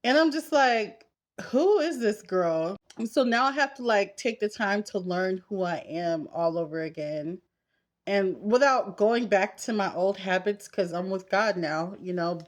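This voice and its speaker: A woman's voice: mid-range voice, unhurried. Candid woman in her thirties, casual vlogger. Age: 30s. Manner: candid, conversational, talking straight to women viewers. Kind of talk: casual confessional chat while getting ready.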